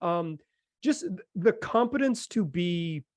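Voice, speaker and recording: Higher-voiced American man hosting, dry sound. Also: lively intonation, takes it slowly, no room sound. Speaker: podcast host. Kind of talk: casual sports talk.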